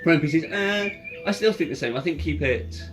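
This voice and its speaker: A man's voice: fairly high pitch, lively intonation, brisk. A man acting as game master, talking casually through rules in a considered way with ambient music playing behind him. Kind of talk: casual tabletop game table talk, explaining rules. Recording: loud background music.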